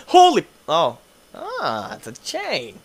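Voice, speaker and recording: A young man doing goofy gameplay commentary, tired, his voice deeper late at night, swinging between low mumbles and excited yelps. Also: drawn-out words, husky. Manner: goofy, playful, jumps between mumbling and yelping. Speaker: gaming youtuber.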